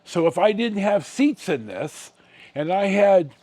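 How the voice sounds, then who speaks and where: Animated older American man telling stories. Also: high voice. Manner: animated, halting and digressive, acts out dialogue. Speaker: vlogger telling personal stories. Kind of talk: casual rambling storytelling.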